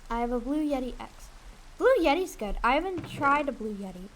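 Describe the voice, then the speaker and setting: Young female game streamer, higher-pitched, candid rambling chat, some background noise. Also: sing-song, fairly quick. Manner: candid, rambling, swears casually. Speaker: streamer and aspiring voice actress. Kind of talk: casual livestream chat while gaming. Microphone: USB desk condenser mic.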